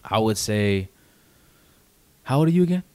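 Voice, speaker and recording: A man in his late twenties with a low-pitched voice talks in a dry-sounding space. He co-hosts an unscripted chat, rambling informally and halting as he finds his words, with casual swearing. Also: expressive, mellow, no echo. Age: late 20s. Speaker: podcast co-host.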